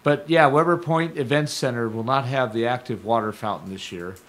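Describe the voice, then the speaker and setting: An older man hosting a community radio show from a studio, talking casually in a rambling, digressive way while his voice is going hoarse. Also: medium pitch, a little fast, a bit bright. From Stockton, California. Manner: rambling, digressive, self-correcting. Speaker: radio host. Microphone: studio microphone.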